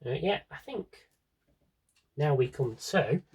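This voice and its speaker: A man with a slightly husky voice rambles casually to viewers as a collector, thinking aloud and interrupting himself in a wry way. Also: a little high, expressive, takes it slowly, darkish tone. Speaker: collector showing his audio drama CDs. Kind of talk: casual rambling vlog chat.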